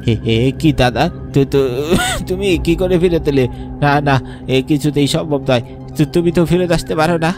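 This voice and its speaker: A man's voice: a little high, normal speed, over music, close to an everyday voice. A man voicing a greedy, murderous younger brother, curt and commanding, in a horror cartoon. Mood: startled, fearful. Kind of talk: Bengali horror cartoon acting.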